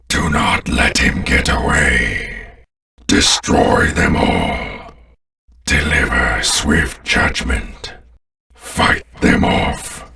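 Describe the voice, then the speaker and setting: Gravelly, extremely deep alien overlord. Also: male voice, bright, forceful tone, recorded from a distance, heavily acted. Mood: commanding, menacing. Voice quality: gravelly, growling. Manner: slow, imperious, menacing. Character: sinister commanding alien overlord, ancient, contemptuous and menacing. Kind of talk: video game villain voice lines.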